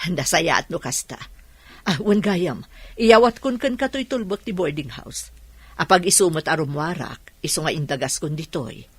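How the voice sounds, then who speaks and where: A woman's voice: sits low, expressive, moderate pace, husky, no room sound, full character voice. A woman playing a weary older neighbourhood woman out on errands in an audio drama. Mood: friendly.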